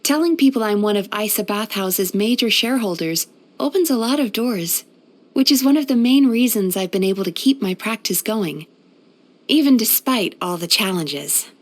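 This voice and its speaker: A woman playing a gentle, composed yokai therapist in a video game, soft-spoken and measured. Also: mid-range voice, animated, lightly acted. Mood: composed, earnest. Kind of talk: video game character voice lines.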